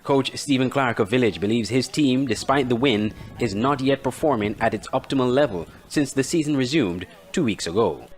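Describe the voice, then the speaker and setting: A man's voice: medium pitch, lively intonation, moderate pace, few pauses. A man reading scripted sports news as a newsreader. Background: music bed.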